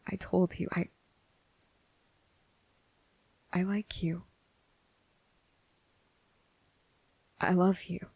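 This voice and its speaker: Casting: male actor as gentle, tormented young vampire man for ASMR romance drama; heavy fry, husky, hesitant delivery. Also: sits high, dry recording, exaggerated voice. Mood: tender, reassuring. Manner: hesitant, halting, breathy, hushed. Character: anguished gentle young vampire man confessing secret love.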